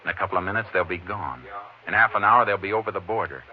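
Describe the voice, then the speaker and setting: An actor playing a tough, cool-headed Texas Ranger in a 1950s radio western, terse and commanding. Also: male voice, sits low, fast talker, lightly acted. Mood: coolly pressing. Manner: terse, commanding, hard-boiled. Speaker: actor in the lead role. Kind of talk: 1950s radio western acting with first-person narration. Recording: dull, noisy old radio recording.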